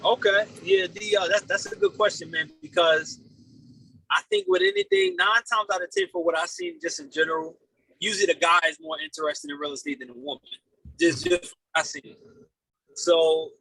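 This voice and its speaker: A man in his late twenties speaking as a podcast guest in an animated, fairly high voice. He sounds bright and forceful, answering casually and earnestly, often doubling back on his words. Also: normal speed, creaky, husky, low-quality recording. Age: late 20s. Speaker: podcast guest, real estate investor.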